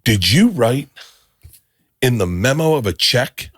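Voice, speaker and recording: A man's voice: medium pitch, slow, breathy edge, no room sound, clean studio sound. A middle-aged man from Florida hosting a podcast, telling stories in casual chat, acting out quotes and laughing often. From Tampa, Florida. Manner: animated storyteller, acts out quotes, laughs often. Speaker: podcast host.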